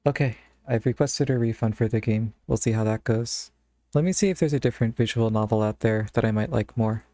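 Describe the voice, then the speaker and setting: A man's voice: mid-range voice, a little fast, mellow, no room sound. A man streaming live, chatting casually and thinking aloud in a rambling way into a close mic. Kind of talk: casual live-stream chat while browsing games.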